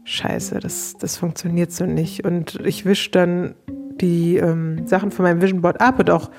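A woman's voice: on the low side, level tone, measured pace, a little mellow, faint music behind. A woman telling her own story casually and frankly, quoting her thoughts in colloquial speech.

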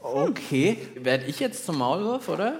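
Animated man co-hosting a kids' show. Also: a little high, unhurried, very noisy. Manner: playfully clueless guesser. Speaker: co-host of a children's science podcast. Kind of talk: casual live show banter. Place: live on stage at a podcast festival.

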